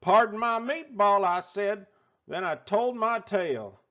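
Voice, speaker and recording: A man voicing a folksy, excitable restaurant owner telling a tall tale in a theatrical drawl for a children's storybook. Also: high-pitched, measured pace, lo-fi sound, heavily acted. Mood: folksy, excited. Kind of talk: animated children's storybook read-aloud.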